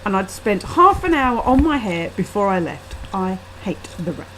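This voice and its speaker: A woman's voice: mid-range voice, very animated, moderate pace, a bit bright, slight background noise, low-quality recording. A woman reading her own first-person short story aloud into a laptop webcam microphone.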